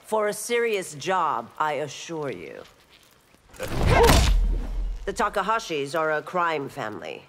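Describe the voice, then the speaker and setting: A woman's voice: low-pitched, sing-song, takes it slowly, long pauses, heavily acted. A woman playing a stern, formal, dutiful constable in a fighting game. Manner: formal, commanding. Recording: loud background noise.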